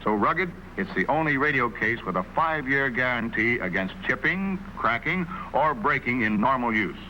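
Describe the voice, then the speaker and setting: A man announcing a mid-century television commercial as a sales pitch. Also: animated, moderate pace, pushed and bright, a little hiss or hum, low-quality recording. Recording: old TV ad soundtrack with music.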